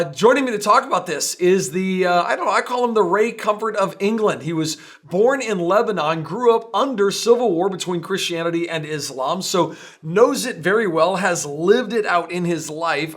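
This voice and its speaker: A man's voice: high voice, fairly bright tone. A man hosting an interview show, casual and jokey in manner. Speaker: show host.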